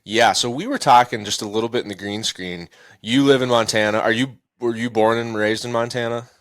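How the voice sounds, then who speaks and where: A man hosting a podcast interview, asking chatty, relaxed questions in casual slang. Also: mid-range voice, fairly quick, no room sound. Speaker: podcast host.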